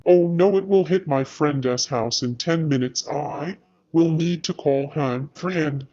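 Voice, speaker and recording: A man's voice: fairly high pitch, takes it slowly, warm tone, dull audio, soft background music. A young man acting out an amateur scripted skit as himself, recorded at home. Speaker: storyteller voicing himself in a homemade skit.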